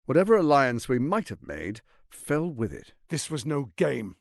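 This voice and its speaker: A male actor playing a suave, scheming, power-hungry lord in a video game, with a theatrical, measured, silky politician's delivery, ruthless and charming. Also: medium pitch, very animated, creaky, no room sound, studio quality. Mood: menacing, stern. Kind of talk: dramatic video game voice acting.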